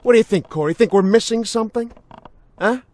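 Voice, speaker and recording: A man playing a menacing, unhinged veteran policeman in a video game cutscene, mocking and theatrical, swinging from soft talk to shouting. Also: high voice, fairly quick, close to an everyday voice. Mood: mocking, amused.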